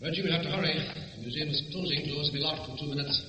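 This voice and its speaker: An actor playing a suave, composed gentleman thief, persuasive and commanding, in old-time radio drama style. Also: fairly high pitch, very fast, natural voice. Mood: brisk, reassuring. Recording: dull, noisy vintage radio recording.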